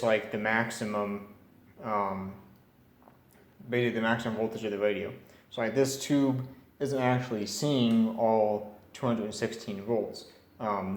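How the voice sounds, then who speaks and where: A man giving a casual hands-on demonstration, tentative and thinking aloud as he works. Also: lowish voice. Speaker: vintage radio repair hobbyist narrating. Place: indoor room at a cluttered workbench. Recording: echoey room with faint background noise.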